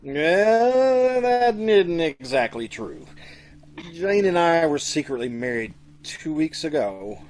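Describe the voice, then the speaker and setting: A man's voice: fairly high pitch, sing-song, slow pace, a little mellow. Guy doing nervous american newlywed husband, doting but cowardly, bumbly, voice bounces all over, old radio comedy. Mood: flustered. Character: flustered, cowardly, doting young bridegroom. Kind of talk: old-time radio comedy mystery acting.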